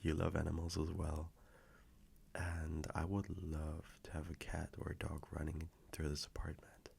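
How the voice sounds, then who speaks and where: A man playing a gentle, affectionate boyfriend in an intimate audio roleplay, speaking softly and reassuringly with a low, gravelly, sometimes breathy voice. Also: completely flat delivery, a little hiss or hum, full character voice. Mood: hopeful, coaxing. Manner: soft, slow, reassuring, intimate.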